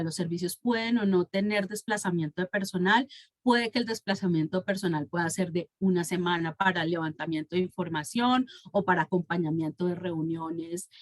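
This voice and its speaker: A woman's voice: fairly low pitch, no room sound. A woman with a Colombian Spanish accent giving an explanatory professional presentation as a consultant, in a didactic manner and often correcting herself as she goes. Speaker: tax and legal consultant presenting a webinar.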